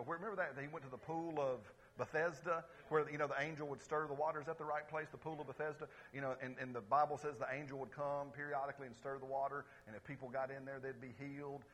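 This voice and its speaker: A pastor preaching to his congregation in a lively, conversational way, calling out for responses and swinging between loud exclamations and quiet asides. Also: fairly high pitch, husky, rough recording. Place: church service before a congregation.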